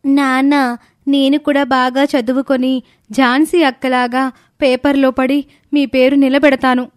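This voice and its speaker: A woman's voice: high-pitched, flat delivery, a little slow, dry recording, studio quality, voice pushed up. A woman voicing a hopeful, pleading young daughter in a story.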